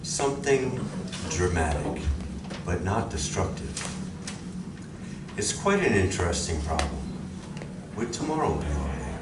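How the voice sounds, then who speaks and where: Recorded from far off in an echoey, noisy room, an actor plays a calm male alien emissary with a low voice. He speaks formally and deliberately, with polite menace, in radio drama style. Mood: cool, composed. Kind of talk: live radio drama acting. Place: library community room, live performance. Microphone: audience member's distant recorder.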